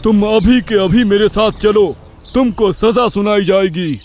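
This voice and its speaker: A woman voicing a stern, hot-tempered parrot king in a children's cartoon, with a booming, imperious delivery. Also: measured pace, darkish tone, faint music behind, lightly acted. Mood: angry, commanding. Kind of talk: Hindi children's cartoon story acting.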